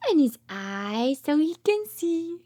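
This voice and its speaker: Very high, swooping woman reading to toddlers. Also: sing-song, takes it slowly, mellow, no echo. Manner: exaggerated sing-song child-directed talk; gasps and drops into whispers. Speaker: children's read-aloud host, speech therapist. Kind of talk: interactive picture-book read-aloud for toddlers.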